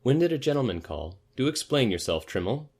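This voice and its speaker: Man voicing a brisk lady of the house, pitched up. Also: sing-song, darkish tone, breathy edge. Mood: impatient. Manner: curt, questioning, commanding. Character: brisk, puzzled lady of the house, a married woman. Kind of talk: audiobook character voice.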